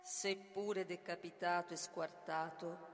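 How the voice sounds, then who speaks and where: Very slow, echoing tragic chanter. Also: female voice, on the low side, flat delivery. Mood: solemn incantation. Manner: declamatory, deliberate, incantatory. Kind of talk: poetic avant-garde theatre reading, half-sung incantation. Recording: long hall reverb, music behind.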